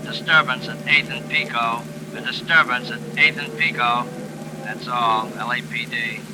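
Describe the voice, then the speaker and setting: An actor playing an impassive police dispatcher making clipped official calls over shortwave in a 1940s radio drama. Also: male voice, medium pitch, normal speed. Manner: clipped, flat official repetition. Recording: noisy vintage radio recording.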